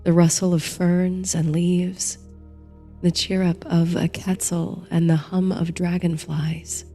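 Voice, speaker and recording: Soft, very slow woman narrating sleep stories. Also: on the low side, little pitch movement. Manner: soothing, gentle, hushed, unhurried delivery. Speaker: host and narrator of a sleep story series. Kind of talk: guided meditation bedtime story, scripted narration. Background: soft music.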